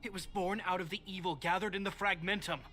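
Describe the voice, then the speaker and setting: A man voicing a steady, cautious young guard captain in a video game, giving calm, measured commands. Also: high voice, slight background noise. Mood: urgent.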